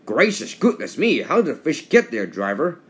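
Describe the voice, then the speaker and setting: A man reads the part of a stern but kindly railway boss from a children's story. He speaks formally, in exclamations, with lively pitch. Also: normal speed. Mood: astonished.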